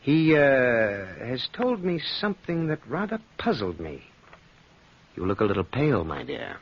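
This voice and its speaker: An actor playing a suspicious, coldly polite and sardonic aristocratic husband in an old-time radio drama, speaking with deliberate, pointed courtesy. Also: male voice, medium pitch, wildly swooping pitch, full character voice. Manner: silky, deliberate politeness with pointed pauses and repetitions. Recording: dull lo-fi vintage radio recording.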